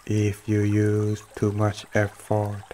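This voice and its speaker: Male meditation guide, low-pitched and extremely slow, gentle short phrases with long pauses, trickling stream behind. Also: monotone, a little hiss or hum. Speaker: meditation teacher. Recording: running water throughout. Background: trickling stream water.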